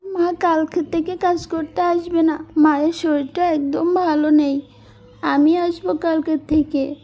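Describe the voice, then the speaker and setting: Woman as a high, mellow young village maid. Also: fairly even intonation, a little slow. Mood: worried. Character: devoted, firm, polite young village maid daughter.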